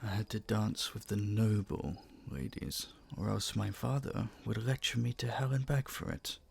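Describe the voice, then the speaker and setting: A man acting young crown prince, breathy and husky, low-pitched, teasing, affectionate, courtly, romantic audio roleplay. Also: normal speed, heavily acted. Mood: tender, wistful. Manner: teasing, soft-spoken courtly intimacy.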